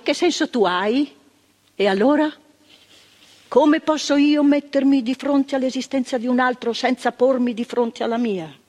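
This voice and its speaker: A reflective psychiatric worker. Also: female voice, on the high side, darkish tone, slightly rough. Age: older. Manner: reflective, searching, repeating words for weight. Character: earnest woman psychiatric worker recalling the past. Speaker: actress in a stage monologue. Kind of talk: theatrical monologue. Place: theatre stage. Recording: stage performance with long hall reverb.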